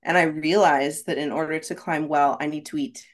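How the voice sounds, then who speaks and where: A woman's voice: sits low, steady tone, normal speed. A woman being interviewed on a podcast, talking candidly and earnestly, often checking in with "right?". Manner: reflective and earnest, frequent "right?" tags. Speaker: podcast guest, rock climbing guide.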